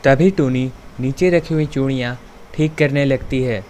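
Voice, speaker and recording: A woman's voice: rumbling low, moderate pace, warm tone. A woman narrator reading a scripted children's story.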